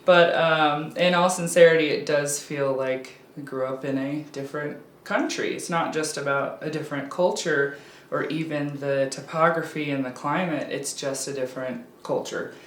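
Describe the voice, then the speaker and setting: A woman's voice: low voice, fairly even intonation, moderate pace, hardly any pauses. Chatty woman in an echoey room. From Alaska. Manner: chatty and candid, with frequent ums and restarts. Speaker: interview guest. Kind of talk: casual conversation. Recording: roomy echo, faint background ambience.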